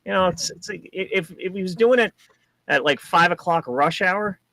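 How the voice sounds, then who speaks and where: A man from New Hampshire co-hosting a casual car talk show, rambling and animated with many restarts. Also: sits high, moderate pace, no echo, low-quality recording. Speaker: co-host. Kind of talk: casual chat.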